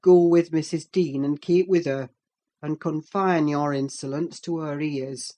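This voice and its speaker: A woman's voice: sits low, steady tone, a little slow, warm tone, voice pushed down. Woman playing a raging, brooding male master. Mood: cold menace. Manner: harsh, threatening, commanding. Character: furious brooding middle-aged male master.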